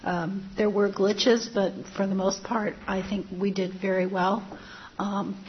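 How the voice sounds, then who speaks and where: A woman's voice: mid-range voice, level tone, unhurried, a little hiss or hum. Woman, strained fading voice, earnest, frequent ums, muffled lo-fi sound. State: losing her voice. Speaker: school board member. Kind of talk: public meeting remarks.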